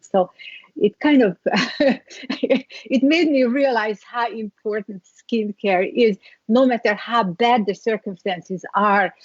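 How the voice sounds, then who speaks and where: Guest: middle-aged Hungarian-accented woman, reflective, halting delivery, dry dull recording. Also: mid-range voice, darkish tone, no room sound. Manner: reflective, halting with many restarts, laughs lightly. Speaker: guest, skincare founder. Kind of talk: interview storytelling.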